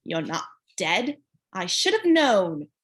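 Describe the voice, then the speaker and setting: A woman voicing a smug, greedy male ferryman of the dead with a vaguely British, foreign-tinged accent, speaking slowly in a sweet, cold way. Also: medium pitch, big pitch swings. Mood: suspicious. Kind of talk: audiobook character reading.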